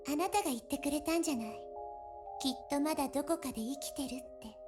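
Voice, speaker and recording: A woman's voice: high voice, very fast, natural voice. A woman voicing a proud, teasing seventeen-year-old girl in love in a visual novel. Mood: tender. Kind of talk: Japanese visual novel voice acting. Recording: game music over the voice. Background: visual novel music.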